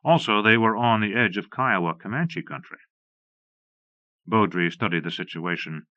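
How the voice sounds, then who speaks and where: Sharp, low-voiced male western narrator. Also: moderate pace, no room sound. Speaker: audiobook narrator. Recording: slightly dull, lo-fi cassette transfer.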